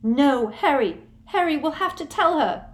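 A frightened teenage schoolgirl witch pleading in a high, tearful, jumpy voice, as a woman reads her aloud. She sounds close to sobbing and strained. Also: animated, a little slow.

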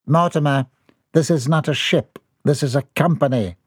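A man voicing a scheming uncle with a smooth, condescending manner. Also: a little high, moderate pace, no echo, clean studio sound.

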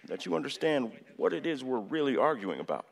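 An actor in a radio play voicing an earnest, middle-aged American president who speaks deliberately, with hesitations and a lecturing manner. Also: male voice, mid-range voice, big pitch swings, creaky. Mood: measured, insistent. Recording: long hall reverb.

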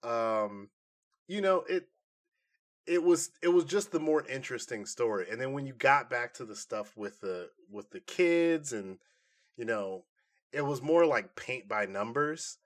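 A man with a mid-pitched voice talks as a podcast co-host in a loose review chat. He is opinionated and slangy, speaking in an informal, conversational way. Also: lively intonation, unhurried.

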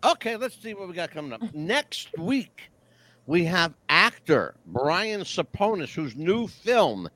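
Animated man in his sixties, host. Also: high-pitched, unhurried, dry recording. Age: 60s. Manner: rambling, swears casually, laughs easily. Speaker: podcast host. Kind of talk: casual interview chat.

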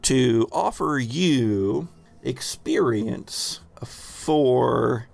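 Male game master, unhurried and gravelly, thoughtful improvised narration, very dry sound. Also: medium pitch, expressive.

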